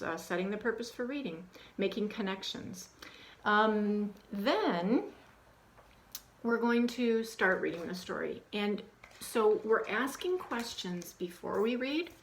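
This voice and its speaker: Chatty woman explaining reading-aloud tips. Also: medium pitch. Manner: conversational, many ums, acts out questions to children in a hushed, animated voice. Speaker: teacher trainer. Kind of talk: instructional talk on reading aloud.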